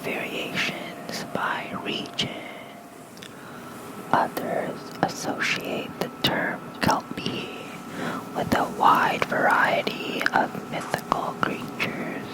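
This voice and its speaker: An ASMR creator softly reading folklore aloud in a hushed, unhurried bedtime whisper. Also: rough recording.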